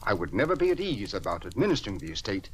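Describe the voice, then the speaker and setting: A man's voice: lowish voice, very animated, fast talker. An actor playing an earnest, troubled older male judge with a hesitant, formal manner in a 1940s radio drama. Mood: concerned, earnest. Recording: noisy old radio recording.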